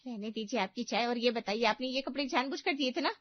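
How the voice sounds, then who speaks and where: A woman voicing an angry, accusing young college girl, scolding in a shrill, indignant way, in a story. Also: fast, no echo, muffled recording, lightly acted.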